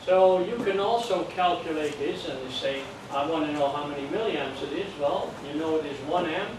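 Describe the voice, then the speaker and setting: A man's voice: a little high, normal speed. Older Dutch-accented man lecturing in an echoey room. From Netherlands. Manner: plain, patient explaining with jokes and check-ins. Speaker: amateur radio course instructor. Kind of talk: classroom lecture. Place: classroom. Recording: echoey room from far off, noisy.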